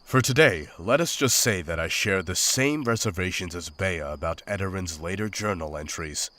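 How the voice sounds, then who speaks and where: A man playing a grim, war-weary monster hunter in a visual novel, speaking in a solemn, formal, measured way. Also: deep voice, bright, forceful tone. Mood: somber. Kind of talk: visual novel voice acting, dramatic journal narration and dialogue.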